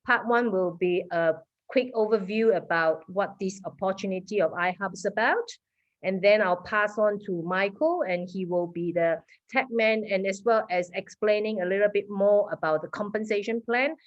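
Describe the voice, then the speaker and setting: A woman from Malaysia with a Malaysian accent presenting at an online meeting, quick and full of fillers. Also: medium pitch. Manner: fast, with many fillers. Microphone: video call. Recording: dull lo-fi call audio.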